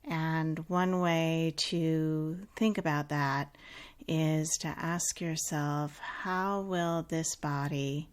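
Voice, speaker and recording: A woman leading a guided meditation in a calm, unhurried, gently instructive way with long pauses. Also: low voice.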